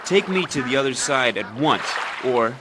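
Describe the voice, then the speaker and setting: A young actor playing a vain, boastful fairy-tale hero who talks in a brash, impatient way in a game. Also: male voice, medium pitch, expressive, normal speed, background noise, natural voice. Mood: arrogant. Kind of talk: game voice acting.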